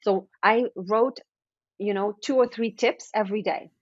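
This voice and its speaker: A woman's voice: medium pitch, fairly even intonation, a little slow, dry recording, dull audio. A woman whose first language is French, chatting as a guest author in a casual interview, often restarting her sentences and acting out her own thoughts. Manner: chatty, with frequent restarts and acted-out inner thoughts.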